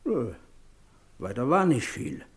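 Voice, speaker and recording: Teasing rustic gossip, husky voice, folksy, sly, 1960s radio drama. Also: male voice, mid-range voice, very animated, heavily acted. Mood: sly storytelling. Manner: folksy, sly, drawn-out storytelling. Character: gossipy, teasing brother-in-law, rustic storyteller.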